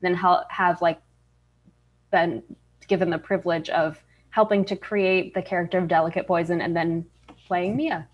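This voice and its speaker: A woman's voice: lowish voice, fairly even intonation, moderate pace. A woman chatting casually as an interview guest, heard through dull, lo-fi sound. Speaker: voice actor, guest.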